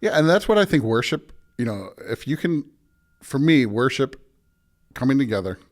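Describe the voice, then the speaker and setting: Pastor as podcast guest: American man, medium pitch, informal and reflective, thinks out loud. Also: big pitch swings, moderate pace. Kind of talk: casual reflective interview talk.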